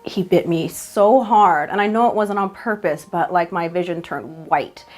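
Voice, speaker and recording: A woman's voice: on the low side, normal speed, a little mellow. A woman in her thirties speaking frankly in a documentary interview, telling her own story. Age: 30s. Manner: frank, candid, often murmurs agreement. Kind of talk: documentary interview, personal testimony. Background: faint music.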